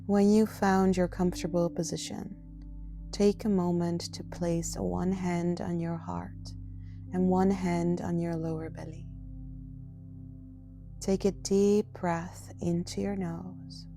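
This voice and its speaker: A woman leading a guided meditation as a yoga nidra guide, speaking in a soft, gentle, soothing and often breathy manner. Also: fairly low pitch, little pitch movement, slow pace, faint music behind.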